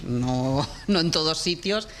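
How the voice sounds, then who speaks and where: A man's voice: on the high side, animated, takes it slowly, a bit bright. A man on a panel answering in a matter-of-fact way. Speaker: panel guest. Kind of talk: panel discussion. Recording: faint background noise.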